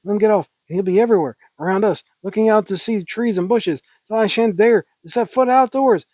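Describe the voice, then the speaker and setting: A man's voice: high-pitched, fairly quick, a little mellow, no echo, pitched above the performer's own voice. A man voicing a frightened, defiant woman in a dramatic reading, breathless, exclaiming and insistent. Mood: pleading, defiant. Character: frightened, hysterical, defiant woman alone at night.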